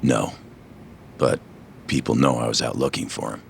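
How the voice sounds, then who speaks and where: An actor playing a troubled, wary low-ranking yakuza in a video game, gruff and guarded. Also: male voice, normal speed, slight background noise, full character voice. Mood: glum, resigned. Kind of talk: dramatic game voice acting.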